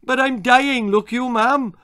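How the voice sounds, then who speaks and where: A man's voice: extremely high pitch, fairly even intonation, unhurried, pushed and bright, dry recording, clean studio sound, pitched above the performer's own voice, exaggerated voice. A man voicing a naughty little boy in a children's story, whiny and apologetic. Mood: pained protest. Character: naughty, fidgety little Welsh boy, sheepish and protesting.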